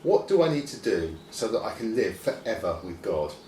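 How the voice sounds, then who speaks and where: A man's voice: on the low side, big pitch swings, moderate pace, dark tone. A man voicing a testing, self-assured religious teacher in a children's Bible story. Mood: probing. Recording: roomy, distant mic, faint noise.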